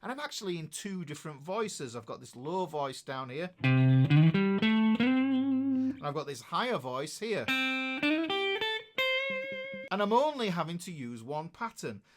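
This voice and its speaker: A man's voice: on the high side, animated, a little slow, pauses often. A man teaching a guitar lesson, explaining each step in an upbeat way while he plays. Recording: loud guitar playing over voice. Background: guitar playing between phrases.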